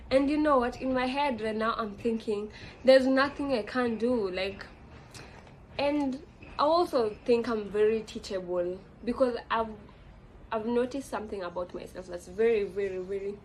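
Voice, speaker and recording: Young Kenyan woman, casual vlog monologue, meandering with fillers, medium pitch, light hiss behind. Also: low-quality recording. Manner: rambling, frequent fillers and self-corrections. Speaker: vlogger.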